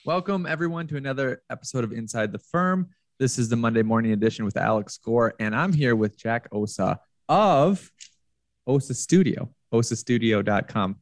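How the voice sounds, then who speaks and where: Host: man, middle pitch, casual questions with restarts, dry close mic. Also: expressive, normal speed, no room sound. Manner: conversational, stumbles and repeats words. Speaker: podcast host. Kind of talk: podcast interview.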